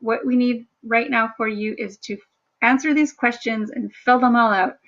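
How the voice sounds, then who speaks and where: A woman instructor talking through a screen-share workshop in a chatty, self-deprecating way, with a low, somewhat monotone voice. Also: normal speed, muffled recording. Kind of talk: screen-share tutorial narration.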